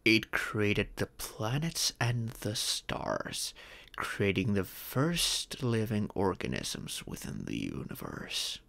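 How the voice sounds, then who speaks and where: Man acting a slow, gravelly ancient dragon father. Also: on the low side, sing-song, a bit bright, heavily acted. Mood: storytelling, measured. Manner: formal, patient, fond, instructive. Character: ancient immortal male dragon, former king, doting stern father. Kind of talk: ASMR roleplay, scripted monologue.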